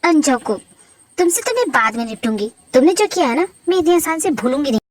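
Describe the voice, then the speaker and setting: A woman's voice: high voice, sing-song, no echo, clean studio sound. A woman voicing a fiery young woman who hurls heated accusations in a story reading. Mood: threatening. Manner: heated, pleading, fast accusations.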